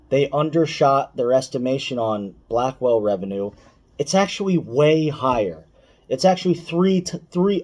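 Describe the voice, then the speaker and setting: Mellow-voiced man giving casual market commentary. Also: mid-range voice. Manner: emphatic, repeats key points, addresses viewers directly. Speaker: YouTube finance commentator.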